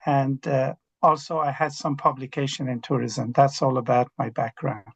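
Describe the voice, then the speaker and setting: A man's voice: mid-range voice, little pitch movement, normal speed, gravelly, breathy edge, no room sound, muffled recording. A man from Iran, a former tour guide, introducing himself formally and courteously on a video call. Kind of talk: polite self-introduction.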